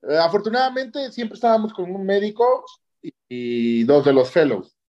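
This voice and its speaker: A man's voice: high voice, lively intonation, normal speed. A man with a Mexican Spanish accent tells a personal story conversationally as an interview guest, often checking in with questioning tags at the ends of phrases. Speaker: interview guest, orthopedic surgeon. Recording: dull lo-fi audio.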